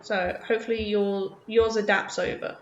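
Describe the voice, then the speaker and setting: A woman's voice: medium pitch, steady tone, moderate pace, reverberant, highs cut off, faint music behind. A woman from the UK, raised partly in Australia, chatting casually with viewers as a game streamer in a nervous, rambling way. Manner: nervous, rambling, self-conscious. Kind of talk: casual chat with viewers while playing.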